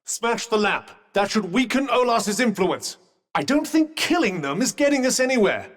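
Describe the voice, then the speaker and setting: A man's voice: sits high, unhurried, fairly bright tone. An actor voicing a sentient magical cuff in a video game, a dry, witty and protective male companion with a British accent and a formal, well-spoken, advising manner. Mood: urgent. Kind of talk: scripted game dialogue. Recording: long reverberant tail on voice.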